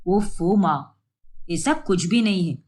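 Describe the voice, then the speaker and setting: A woman's voice: fairly low pitch, takes it slowly, a little mellow, pitched below the performer's own voice. A woman voicing a mild young married son in a family story, placating in manner. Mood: defensive. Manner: pleading, placating.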